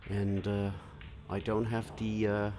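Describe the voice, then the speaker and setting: A man from Denmark commenting live on a pool match, explaining shots in a relaxed way and answering viewer comments, with frequent fillers. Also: lowish voice, slow pace, noisy background.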